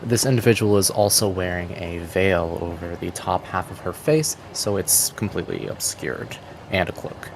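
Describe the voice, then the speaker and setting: A man narrating a tabletop roleplaying game as game master, with measured, evocative storytelling. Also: low voice, animated, noisy background. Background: music.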